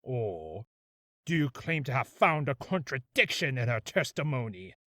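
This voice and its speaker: A man's voice: mid-range voice, sing-song, measured pace, fairly bright tone, dry recording, clean studio sound, voice pushed down. A man playing a menacing, imperious video game prosecutor with a commanding, contemptuous manner. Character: menacing, imperious prosecutor demanding guilty verdicts, vengeful. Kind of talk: video game character acting.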